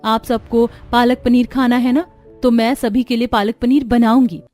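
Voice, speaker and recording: A woman's voice: a little high, level tone, moderate pace, a little mellow, soft background music, close to an everyday voice. A woman playing a caring, determined young daughter-in-law in a cartoon story, speaking in an earnest, reassuring way.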